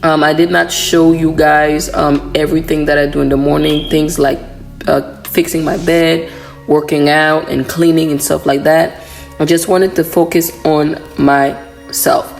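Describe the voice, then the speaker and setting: A woman vlogger talks through her morning routine in a voiceover. Her voice is hoarse from a cold and sits low with a gravelly edge. Soft music plays faintly behind her. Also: measured pace. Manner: relaxed, chatty, many "um" fillers.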